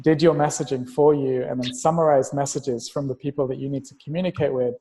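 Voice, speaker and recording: A man's voice: medium pitch, steady tone, brisk, warm tone, big-room sound. A man speaking as a guest in a casual podcast conversation, explaining ideas in an analytical, abstract way. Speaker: interview guest, tech investor and entrepreneur.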